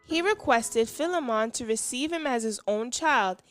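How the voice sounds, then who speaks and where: A woman's voice: on the high side, expressive, moderate pace, soft background music. A woman reading scripture and a scripted religious devotional aloud. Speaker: devotional reader.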